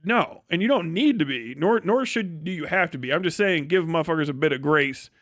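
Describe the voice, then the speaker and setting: A man's voice: fairly high pitch, animated, fairly quick, a bit bright, dry recording, studio quality. A man live streaming a casual, opinionated monologue in a profane, slangy, rambling and emphatic way.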